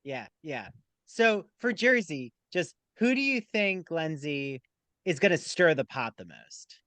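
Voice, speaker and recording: Podcast guy hosting, super animated, smooth bright voice, dry mic. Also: a little high, measured pace, dry recording. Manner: playful, animated interviewer. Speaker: podcast host. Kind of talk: casual chat.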